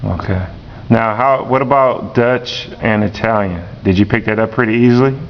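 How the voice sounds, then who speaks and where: A man, a teacher, interviewing a student slowly and repeating each answer back. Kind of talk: classroom interview. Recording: dull lo-fi recording with rumble.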